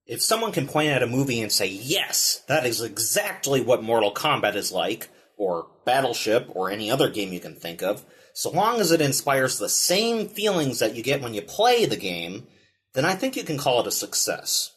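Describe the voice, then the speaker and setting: Male review host, mid pitch, highly varied intonation, scripted video-essay narration. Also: big pitch swings, moderate pace, fairly bright tone. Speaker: film reviewer and show host.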